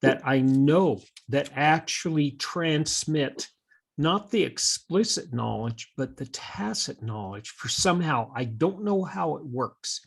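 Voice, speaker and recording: A man with a slightly husky voice co-hosting a conversational podcast, explaining ideas in a thoughtful, halting way like a teacher. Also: a little high, animated.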